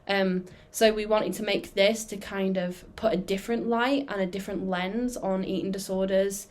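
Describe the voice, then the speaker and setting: A woman's voice: mid-range voice, fairly even intonation, moderate pace, a little mellow. A twenty-one-year-old woman introducing her documentary in a casual, chatty way, with frequent "um" fillers. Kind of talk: casual self-introduction to camera.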